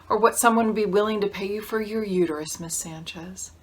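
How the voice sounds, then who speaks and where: A woman's voice: medium pitch, fairly quick, natural voice. A woman acting a steely, contemptuous lawyer in a dramatic monologue, speaking in a controlled, cutting way. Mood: cold contempt.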